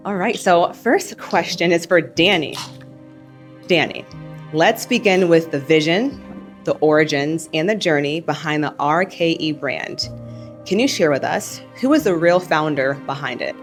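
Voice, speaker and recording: A young woman with a notably low voice hosts a panel. She greets the audience warmly and asks her questions clearly, while soft music plays in the background. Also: unhurried. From United States. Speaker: event moderator. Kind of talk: moderating a panel event.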